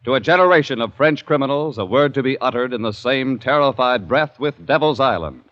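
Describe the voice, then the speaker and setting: A man's voice: medium pitch, expressive, fairly quick. An American man announcing a 1940s radio drama from a script, formal and dramatic. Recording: dull old radio recording. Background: music.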